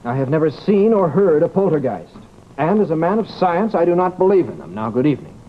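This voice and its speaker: An actor playing a fussy, sceptical scientist in old-time radio drama, speaking in a pompous, formal, curt manner. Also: male voice, a little high, very animated, brisk. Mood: dismissive. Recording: muffled, noisy old radio recording.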